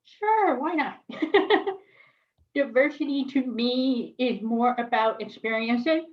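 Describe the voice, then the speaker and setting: A woman's voice: fairly high pitch, takes it slowly, dull audio. A woman born in South Korea and raised near Chicago, a biologist telling personal stories on a panel in a thoughtful, reflective way. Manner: thoughtful, reflective, light laughs. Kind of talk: personal storytelling in a panel conversation.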